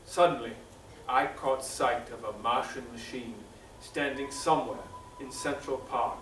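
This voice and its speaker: A man playing a solemn survivor of an alien invasion in an old-time radio drama, speaking in a grave, measured, theatrical way. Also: mid-range voice, steady tone, fairly bright tone, far from the mic, lightly acted. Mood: grave, haunted. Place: stage performance in a roomy theatre. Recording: echoey, noisy stage captured from afar.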